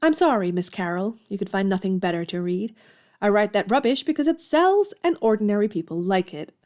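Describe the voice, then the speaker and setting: A woman's voice: medium pitch, sing-song, a little fast, a little mellow, natural voice. An actress playing a headstrong, hot-tempered tomboyish young woman in an audio drama, outspoken and emphatic. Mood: haughty, flippant. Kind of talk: audio drama acting of a period novel.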